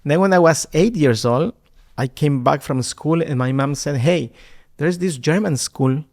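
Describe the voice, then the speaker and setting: Animated Ecuadorian-born man telling his story. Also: a little high, measured pace. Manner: warm and animated, repeats words when starting. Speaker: podcast guest, marketing executive. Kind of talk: conversational storytelling.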